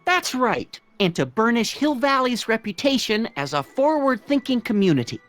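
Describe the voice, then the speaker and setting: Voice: medium pitch, big pitch swings, normal speed, a bit bright. An actor playing a stodgy, apologetic fair official in a video game, formal and hesitant, drawing out the words. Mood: flustered, apologetic. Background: music.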